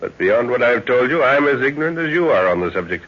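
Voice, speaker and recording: An actor playing a shrewd, genial explorer and father in a 1930s radio drama, speaking with measured authority. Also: mid-range voice, sing-song. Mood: genial, explanatory. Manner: measured, hesitant pauses, authoritative. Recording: muffled old 1930s radio recording.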